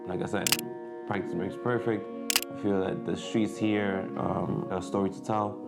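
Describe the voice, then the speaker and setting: A man's voice: lowish voice, level tone, moderate pace, gravelly, over loud music. A young man, a native Spanish speaker from the Dominican Republic, chatting casually to camera in a vlog, hesitant with many fillers and restarts. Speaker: vlogger.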